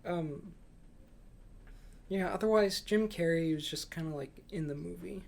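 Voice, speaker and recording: A man's voice: sits high, measured pace. A young man talking casually as a podcast host about films, in an informal conversational style. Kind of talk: casual movie chat. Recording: clear background room noise.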